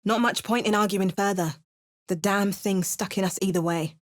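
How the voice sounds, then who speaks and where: A woman's voice: medium pitch, fairly even intonation, normal speed, no room sound, natural voice. A woman voicing a wry, sardonic adventurer. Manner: dry, sarcastic asides. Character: sardonic adult female adventurer, wry and unflappable. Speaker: player character voice actor. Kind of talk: game voice lines, dry narration and quips.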